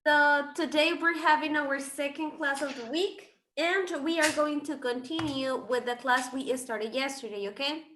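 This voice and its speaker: A woman with a high voice and Spanish as her first language gives instructions to an English class online. She is informal and corrects herself as she goes. Faint noise and clicking sit behind her. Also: normal speed, hardly any pauses, bright, forceful tone. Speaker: English teacher.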